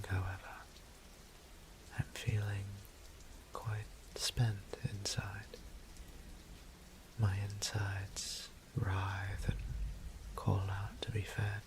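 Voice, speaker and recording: A man playing a weary, courteous immortal vampire priest in an audio drama, speaking formal, old-fashioned English in a breathy, gravelly near-whisper. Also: lowish voice, slight background noise, low-quality recording. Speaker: voice actor. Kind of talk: scripted audio drama monologue.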